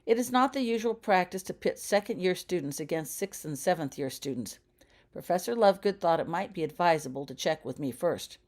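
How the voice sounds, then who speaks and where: A caring grown wizard, played by a woman reading a storybook speaks in a low register. He is calm and serious, putting measured, probing questions with gentle concern. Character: caring adult male wizard cousin, calm, grave and probing. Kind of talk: audiobook character dialogue.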